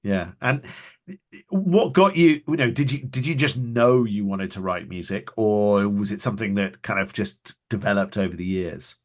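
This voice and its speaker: A fairly low-voiced man hosting a relaxed interview. He feels his way through each question, stopping and restarting before he gets it out. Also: moderate pace. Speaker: podcast host. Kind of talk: casual interview.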